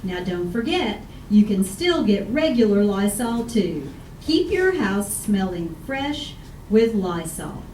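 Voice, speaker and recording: A woman's voice: mid-range voice, sing-song, slow, distant mic. A woman announcer reading a scripted old-time radio advertisement. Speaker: commercial announcer. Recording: roomy, noisy old radio, off mic.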